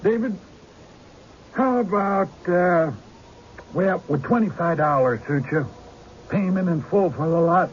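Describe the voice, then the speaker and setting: A man's voice: high-pitched, a little slow, pauses often. An actor playing a decent, folksy country man with neighbourly talk in an old-time radio drama. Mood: friendly, generous. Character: George, decent, easygoing country man owed money. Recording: noisy, dull lo-fi radio recording.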